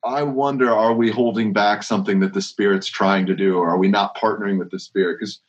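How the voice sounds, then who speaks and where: A man's voice: fairly low pitch, steady tone, a little fast, highs cut off. A man who leads worship, talking casually in an interview, rambling with frequent restarts and strings of rhetorical questions. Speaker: worship leader.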